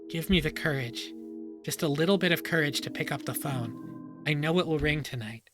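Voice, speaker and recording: A man streaming a dramatic first-person reading of a story, pausing and repeating phrases for suspense. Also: fairly high pitch, bright, forceful tone. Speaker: streamer reading a visual novel aloud. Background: music.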